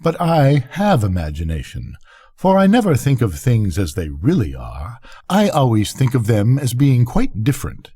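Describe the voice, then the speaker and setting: A man's voice: medium pitch, huge pitch swings, normal speed, mellow, breathy edge, heavily acted. An older man voicing a vain, self-pitying firework rocket in a bedtime story, pompous, indignant and declamatory.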